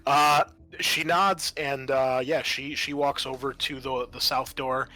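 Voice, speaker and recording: A man working as game master, improvising tabletop narration in a halting, thinking-aloud way. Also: medium pitch, fairly even intonation, pushed and bright.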